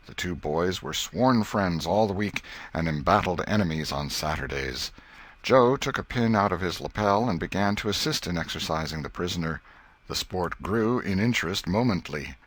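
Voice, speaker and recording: A man's voice: low-pitched, fairly bright tone, dry recording. A man reading literary fiction as an audiobook narrator in a scripted storytelling style.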